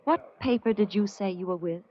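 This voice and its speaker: A woman's voice: medium pitch, moderate pace, close to an everyday voice. An American actress playing a cool, guarded nightclub singer in 1940s radio drama, poised and clipped as she questions. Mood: coolly suspicious. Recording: dull old radio recording, faint music.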